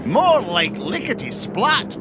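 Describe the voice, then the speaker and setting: A man's voice: high-pitched, huge pitch swings, takes it slowly, full character voice. A man voicing a scheming old rival duck billionaire, a taunting, theatrical cartoon game villain. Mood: smug, taunting. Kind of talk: animated game voice acting. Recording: loud background music under the voice.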